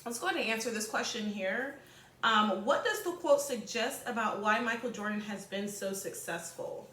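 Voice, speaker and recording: A woman's voice: medium pitch, moderate pace, a bit bright, reverberant. A woman teacher reading aloud to students, expressively, with informal chatty asides and explanations.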